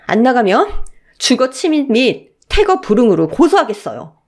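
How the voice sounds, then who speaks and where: A woman acts out a young daughter-in-law in a story drama. She is resolute and emotional, with lively pitch and a firm, pleading edge. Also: moderate pace. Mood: defiant. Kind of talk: melodramatic story acting.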